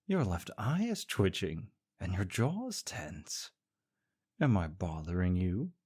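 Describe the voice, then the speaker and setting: A man's voice: sits low, sing-song, takes it slowly, darkish tone, husky. A young man performing a scripted audio roleplay as a sarcastic, teasing rival co-worker. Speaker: voice actor playing a smug rival co-worker.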